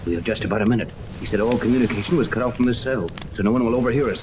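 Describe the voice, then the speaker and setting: A confident, take-charge captain, acted by a man in a vintage radio adventure. He talks urgently and very quickly, with clear noise from the old recording. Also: medium pitch, close to an everyday voice. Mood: urgent, hushed scheming. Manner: urgent, commanding. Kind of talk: 1930s radio serial acting.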